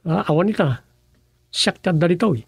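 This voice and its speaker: A man's voice: high-pitched, expressive, a little fast, vocal fry, husky, no room sound, studio quality, heavily acted. An actor with an Ilocano Filipino accent playing a chatty, meddling village man in a comedy radio drama. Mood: chiding.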